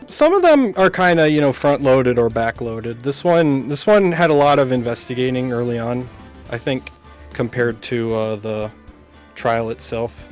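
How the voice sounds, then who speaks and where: A man with an average-pitched voice co-hosts a game playthrough. He talks in a casual, conversational way over faint music. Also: expressive, measured pace, a little mellow. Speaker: co-host, guest voice actor. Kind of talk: casual chat.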